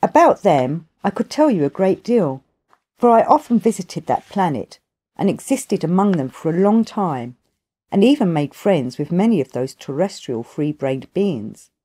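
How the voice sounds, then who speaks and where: A woman voicing a wise, ancient grandfather in an audiobook, telling his tale in a formal, measured, long-winded way. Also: fairly low pitch, very animated, dark tone. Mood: reflective.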